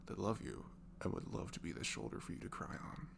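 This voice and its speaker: A young man softly leaving an intimate, improvised comfort message in ASMR style, tender and reassuring, murmuring and often slipping into a breathy whisper. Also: a little fast. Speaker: amateur audio performer playing a comforting friend. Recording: clearly noisy home recording with hum.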